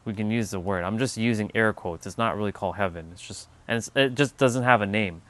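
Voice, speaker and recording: A man's voice: lowish voice, brisk, a bit bright. A man hosting a casual livestream, musing aloud on spiritual ideas and answering viewers in chat, with loose, offhand swearing. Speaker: livestream host.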